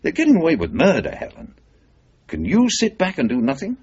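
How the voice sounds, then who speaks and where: An actor playing a driven, persuasive freelance journalist in a radio thriller, speaking with insistent emphasis. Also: male voice, fairly high pitch, wildly swooping pitch, brisk, a little mellow, slightly rough, heavily acted. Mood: insistent, intense. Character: driven, persuasive male freelance journalist, obsessed with a suspicious death. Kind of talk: radio drama acting. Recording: muffled 1980s radio drama recording.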